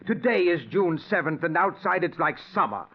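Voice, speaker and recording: Higher-voiced skeptical father in vintage radio drama. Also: expressive. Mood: scornful disbelief. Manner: theatrical, emphatic, halting when shaken. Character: skeptical, anguished father, stubborn and easily agitated. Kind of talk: 1940s radio suspense drama acting. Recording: muffled vintage 1940s radio recording.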